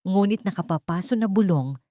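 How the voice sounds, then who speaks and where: A woman narrating a dramatic audiobook story in a measured, dramatic way. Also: medium pitch, lively intonation, warm tone, dry recording.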